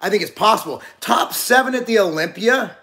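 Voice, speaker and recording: A man's voice: high voice, expressive, a little fast, sharp tone. A man in his forties co-hosting a casual talk show, rambling with frequent restarts. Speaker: podcast co-host. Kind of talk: casual chat.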